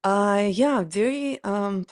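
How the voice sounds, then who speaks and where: A woman's voice: medium pitch, takes it slowly, dry recording, studio quality. A woman in her forties with a Ukrainian accent, speaking reflectively and telling stories as an interview guest. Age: 40s. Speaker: podcast guest, pianist and performance coach.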